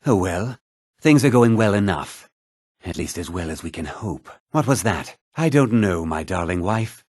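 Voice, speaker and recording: An actor playing a haughty, put-upon fantasy townsman in a video game, husky, theatrical and often sarcastic. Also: deep voice, expressive, moderate pace, darkish tone, no room sound, clean studio sound. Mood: wry, put-upon. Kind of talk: video game character dialogue.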